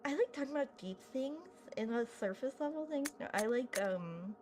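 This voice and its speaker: A woman's voice: a little high, expressive, moderate pace, noisy background. A young woman hosting a gaming video, chatting casually and rambling with lots of fillers while she plays. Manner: chatty, rambling, self-deprecating, many fillers. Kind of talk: casual commentary while playing. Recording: loud game music behind voice.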